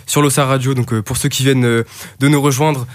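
A man's voice: mid-range voice, steady tone, fairly quick, no room sound. A man hosting a live radio show, presenting casually, with frequent hesitations and fillers. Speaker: radio host.

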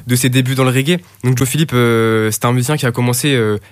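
A man's voice: medium pitch, steady tone, fast, dry recording. A man hosting a live radio show, presenting casually, with frequent hesitations and fillers. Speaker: radio host.

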